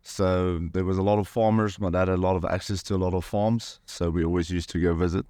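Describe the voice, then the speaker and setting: A man in his thirties with a South African accent, a hunter telling his story as a podcast guest in a relaxed, rambling way. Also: low-pitched, fairly quick, no echo. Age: 30s. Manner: rambling, many fillers and "pretty much".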